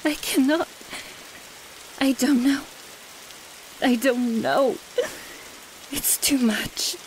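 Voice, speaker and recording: Female vampire mistress played by a woman, elegant and menacing, unhurried theatrical delivery, hiss and rain behind. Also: high-pitched, low-quality recording. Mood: distraught. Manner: slow, theatrical, sprinkles French phrases. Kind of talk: audio roleplay drama acting.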